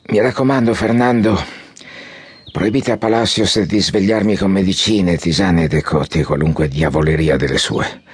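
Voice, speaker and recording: An actor in an audiobook drama playing an ailing, proud old general, commanding and grandiloquent in manner. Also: male voice, a bass voice, breathy edge, heavily acted. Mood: weary, content. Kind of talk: audiobook radio drama acting. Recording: music behind the voice.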